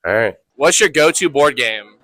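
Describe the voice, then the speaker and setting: A man's voice: medium pitch, expressive, moderate pace, bright, forceful tone, no echo. A teenage boy reporter asking people silly questions in a casual, cheerful way. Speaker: student reporter. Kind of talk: man-on-the-street interviews.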